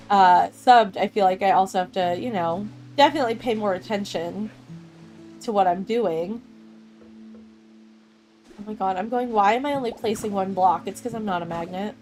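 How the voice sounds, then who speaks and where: A woman's voice: mid-range voice, normal speed, long pauses, a little mellow, soft background music. A young woman streaming a game, chatting casually and rambling with frequent laughs as she answers her chat. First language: English. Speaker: gaming streamer. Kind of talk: casual chat with live chat while playing.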